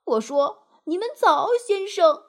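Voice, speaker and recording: Boastful storybook mole played by a woman: high, animated, gleeful, self-satisfied. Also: normal speed. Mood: proud, excited.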